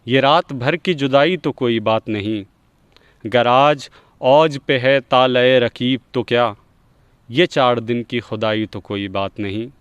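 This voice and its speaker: Man, poetry reciter, middle pitch, clear smooth voice, unhurried, dry recording. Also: dry recording, highs cut off.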